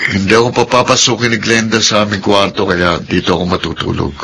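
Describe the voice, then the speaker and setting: A man's voice: on the low side, flat delivery, quick pace, gravelly, pushed and bright. A man playing a brash, domineering, lecherous husband and employer in a Filipino radio drama. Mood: insistent, lecherous. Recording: faintly noisy radio drama recording.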